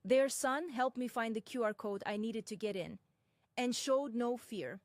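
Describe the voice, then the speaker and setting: Measured young woman. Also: on the high side, darkish tone, dry recording. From Russia. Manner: measured, deliberate, with frank asides. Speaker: personal commentator. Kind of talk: first-person reflective narration.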